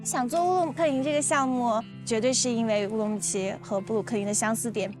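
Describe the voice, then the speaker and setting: A woman's voice: a little high, steady tone, quick pace. A woman from Urumqi, a photographer and artist, talking enthusiastically in an interview. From Urumqi, China. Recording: music bed under the voice.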